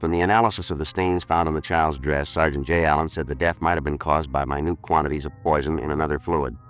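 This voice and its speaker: Unflappable detective: bottom-register voice, quick deadpan delivery, old-time radio noir. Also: male voice, a bass voice, faint music behind. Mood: matter-of-fact. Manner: deadpan, clipped, matter-of-fact. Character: terse, unflappable male police detective narrating his case. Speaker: police detective and narrator. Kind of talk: 1950s radio crime drama, clipped narration.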